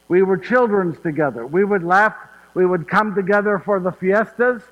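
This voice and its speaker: Guy playing joseph telling stories, lilt, laughs a lot, keeps asking yes?, highish voice, echoey hall. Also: normal speed, a bit bright, dull audio. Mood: fond. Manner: folksy, laughing, asks "yes?" often. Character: warm old Joseph of Nazareth, chatty storyteller. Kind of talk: one-man stage monologue. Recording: hall reverb.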